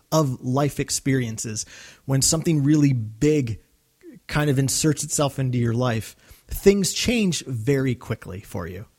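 Male podcast co-host, medium pitch, reflective and sincere, informal discussion style. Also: a little mellow, husky. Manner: earnest, reflective, acts out quotes. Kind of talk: casual discussion of a radio drama.

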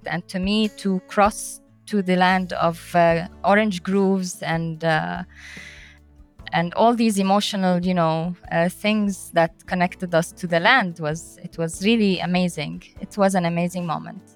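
A woman giving a reflective personal interview as a guest, speaking in a thoughtful, halting way. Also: on the low side, fairly even intonation, faint music behind. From Middle East and North Africa. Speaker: interview guest, environmental peace-building director.

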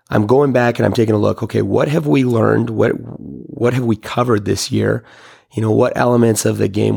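A middle-aged man, a hockey coach, talking in a rambling, reflective way with a hoarse voice that is only just coming back. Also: fairly low pitch, darkish tone. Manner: rambling, many fillers and restarts. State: hoarse, recovering lost voice. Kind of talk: casual reflective monologue.

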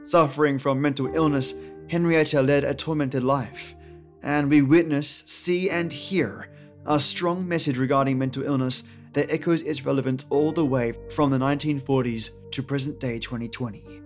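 A man's voice: medium pitch, fairly even intonation, moderate pace. A man reading a scripted podcast introduction as its host, with music behind him. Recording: music under the voice. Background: music, guitar.

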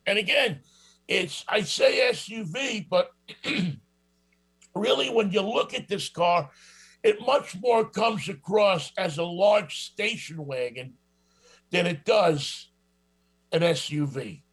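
A man's voice: high voice, expressive, takes it slowly, a bit bright, husky. A middle-aged man with a New York accent, hosting a radio show and reviewing a car in a rambling, casual way with lots of fillers. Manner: rambling, many fillers and restarts.

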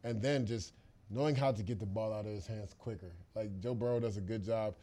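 A man's voice: fairly low pitch, brisk, warm tone. A man co-hosting a football podcast, giving emphatic, opinionated analysis in a casual, conversational way.

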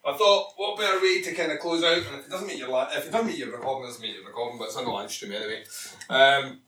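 A man's voice: medium pitch, fast, sharp tone. A man co-hosting a podcast in casual chat, teasing and wry. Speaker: podcast co-host. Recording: roomy and distant with faint hiss.

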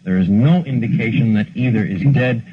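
A man's voice: on the low side, normal speed, husky. A man anchoring a live 1960s breaking news bulletin, reporting facts in broadcast style. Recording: muffled, noisy 1960s archival broadcast audio.

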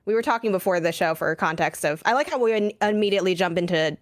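A young woman co-hosting a casual gaming show, a little rough from a coming cold, rambling and self-deprecating. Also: mid-range voice, quick pace, no room sound, studio quality. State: getting sick, voice a bit rough. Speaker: podcast co-host. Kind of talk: casual chat.

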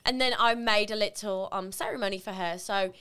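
A woman co-hosting a podcast in casual chat with friends, telling stories in a playful, animated way. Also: medium pitch, brisk, smooth. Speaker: podcast co-host.

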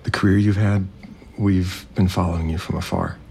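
A man's voice: low voice, steady tone, moderate pace, darkish tone, slight background noise. An actor playing a grieving, overwhelmed resort heir in an audio drama, hesitant and apologetic, placating whoever he talks to. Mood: strained, mournful. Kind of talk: naturalistic audio drama acting.

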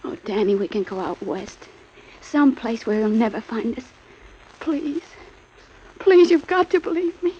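An actress playing a scheming young woman begging her lover in a 1940s radio drama, pleading and breathy. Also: fairly high pitch, a little slow, long pauses, dark tone, slight background noise, lightly acted. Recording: dull old radio recording.

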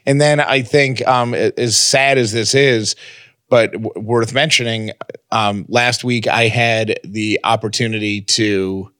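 A man's voice: on the low side, steady tone, unhurried, fairly bright tone, no echo, clean studio sound. A man co-hosting a podcast, chatting casually and personally. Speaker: podcast co-host. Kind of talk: casual banter and personal talk.

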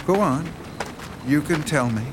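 An actor playing a politely menacing male stranger in a radio drama, probing in a soft, insinuating way. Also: a little high, expressive, measured pace. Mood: quietly menacing. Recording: outdoor ambience noise behind voice.

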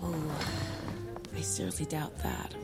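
An actress playing a blunt, free-spirited young heiress in a screen drama, talking in a wry, unguarded way. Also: female voice, measured pace, loud music behind the voice, lightly acted. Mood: dismissive, bitter. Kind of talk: naturalistic screen drama acting.

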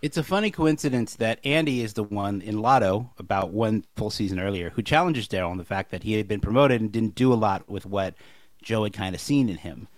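A man co-hosting a podcast, discussing a TV show casually in a measured, analytical way. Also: fairly low pitch, no echo. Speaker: podcast co-host.

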